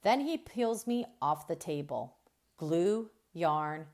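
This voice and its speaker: A woman reading a children's book aloud as a storyteller, expressive and deliberate, drawing out key words. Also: fairly low pitch. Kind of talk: expressive read-aloud narration.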